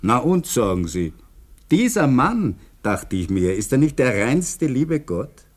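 An actor with an Austrian accent playing a philosophical dam construction worker in a 1950s radio drama, reflective and earnest. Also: male voice, mid-range voice, very animated, slow. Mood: earnest, musing. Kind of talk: 1950s German-language radio drama acting.

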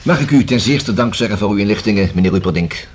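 An actor in a Dutch radio play playing a polite investigator with a formal, brisk manner. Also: male voice, on the low side, animated, slightly rough. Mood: polite, probing. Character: polite, probing male investigator.

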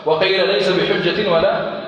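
A male sheikh teaching a lesson on legal theory, repeating key phrases and often asking whether it is clear. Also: high-pitched, steady tone, moderate pace, fairly bright tone, muffled recording. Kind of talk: classroom lecture. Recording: echoey hall, mic far off.

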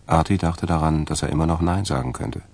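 A man with a gravelly voice narrating a German radio crime drama from a script. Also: extremely low pitch, fairly quick, a little mellow.

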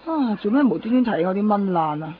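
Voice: medium pitch, animated, fairly quick, crowd noise, close to an everyday voice. Performer as a skittish, swooping Hong Kong companion. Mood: teasing. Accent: Hong Kong Cantonese. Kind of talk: animated 1990s radio drama acting. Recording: dull 1990s radio recording, crowded background.

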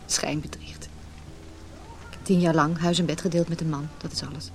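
A rather low-voiced woman in a radio play, earnest and vulnerable. She is a married woman torn by forbidden love. Faint noise sits on the recording. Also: level tone, moderate pace. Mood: anxious, confessing. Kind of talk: Dutch radio drama acting.

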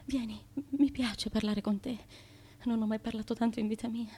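An actress playing a fearful, haunted wife of an ancient Greek tyrant, intense and repetitive, in a theatrical radio drama. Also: female voice, a little high, steady tone, normal speed, warm tone, breathy edge. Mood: fearful, hurt.

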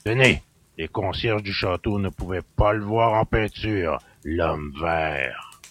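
A man voicing a surly, grumbling middle-aged country innkeeper with rustic speech and a gravelly growl. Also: low-pitched, takes it slowly, a bit bright, a little hiss or hum, pitched below the performer's own voice. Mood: resentful, scornful.

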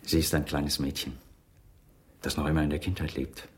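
A man's voice: a bass voice, flat delivery, moderate pace, husky, full character voice. An actor playing a big, devoted toy maker in his forties in a radio crime drama, earnest and explanatory. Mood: earnest, reflective. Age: 40s.

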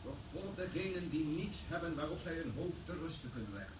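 A man's voice: a little high, lively intonation, fairly quick, lightly acted. Echoey and tinny, actor playing male priest at mass, solemn, declamatory, radio play. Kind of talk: sermon in radio drama. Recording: distant echoey voice, tinny noisy old recording.

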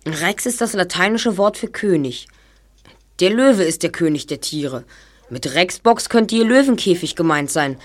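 A woman's voice: lowish voice, animated, measured pace. A woman voicing a clever, self-assured young boy detective in a German audio drama, explaining things precisely. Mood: confident, deducing.

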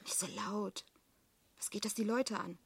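A woman's voice: medium pitch, steady tone, a little slow, creaky, breathy edge, heavily acted. An actress playing an earnest, sympathetic young working woman in a radio drama, speaking with sincere, intimate urgency. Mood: urgent, intimate. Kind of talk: German radio drama acting.

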